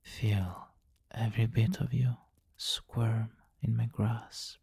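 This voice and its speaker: A man playing a possessive, teasing vampire king in an intimate ASMR roleplay, commanding and breathy, often slipping into whispers on a close mic. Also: on the low side, little pitch movement, slow, darkish tone, heavily acted. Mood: seductive, teasing. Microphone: close microphone, dry.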